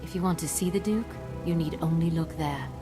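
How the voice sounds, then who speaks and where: An actress playing a composed noblewoman diplomat in a video game, speaking formally and earnestly in measured phrases. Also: low-pitched, over music, lightly acted. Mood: calm, grave. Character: composed, diplomatic noblewoman official negotiating calmly.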